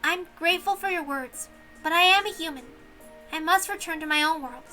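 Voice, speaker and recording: A woman voicing a polite young servant girl, humble but resolute, with soft, courteous, earnest speech. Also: extremely high pitch, brisk, faint music behind, pitched above the performer's own voice. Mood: politely resolute.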